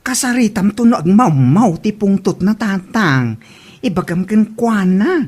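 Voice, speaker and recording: A woman's voice: medium pitch, lively intonation, unhurried, darkish tone, slightly rough. A woman acting a concerned, indignant daughter with an Ilocano accent in a comedy drama, speaking expressively. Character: concerned, indignant daughter standing up for her father.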